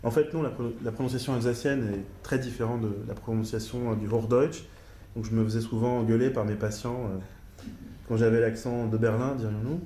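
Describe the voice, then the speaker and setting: A middle-aged man speaks from a panel desk in a large lecture hall, pausing often and filling gaps as he explains. His voice is mellow and dark. The table mic picks up a roomy echo. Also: mid-range voice, steady tone. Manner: hesitant, many fillers, careful pronunciation of German names. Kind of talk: academic conference talk and discussion. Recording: echoey hall with faint noise.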